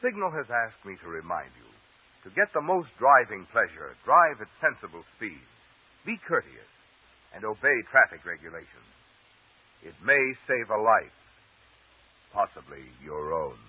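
A man announcing in 1940s radio style, polished, formal and emphatic like a sponsor's pitchman. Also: sing-song, measured pace, breathy edge, no echo. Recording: tinny old radio recording.

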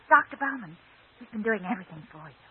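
An anxious, attentive woman at a sickbed in an old radio play. Her speech is rapid and very breathy-rough. The recording is narrow and phone-like. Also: mid-range voice, lively intonation. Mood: concerned. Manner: quick, concerned. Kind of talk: 1940s radio drama acting.

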